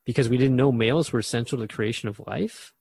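A man's voice: medium pitch, fast talker, gravelly, a little mellow, breathy edge. A man as a radio host, asking questions and thinking aloud in scripted drama dialogue.

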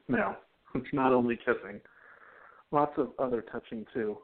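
A man's voice: medium pitch, big pitch swings, a little slow, gravelly, full character voice. A man playing a devoted, loving young husband. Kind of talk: amateur radio play acting. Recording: tinny phone-like sound.

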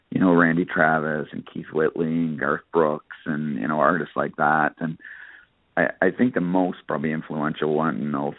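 Man, very deep pitch, dry phone-like radio sound, casual hesitant interview answers. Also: no echo. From Nova Scotia, Canada. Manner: hesitant, conversational, peppered with "you know". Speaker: interview guest, country singer and guitarist. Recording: narrowband phone-like radio line.

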